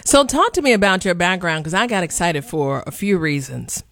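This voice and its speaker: A woman's voice: lowish voice, normal speed. Playful woman talk show host. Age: 30s. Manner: playful, animated, colloquial. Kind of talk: casual interview chat. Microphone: video call.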